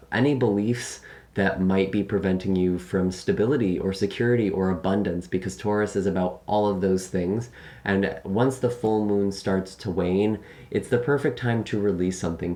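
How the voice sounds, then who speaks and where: A young man giving a casual monthly tarot and astrology forecast to camera from a small room at home, slightly raspy from long recording, earnest with many fillers. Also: sits low, darkish tone. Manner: earnest, filler-heavy, gestures with hands. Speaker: tarot reader.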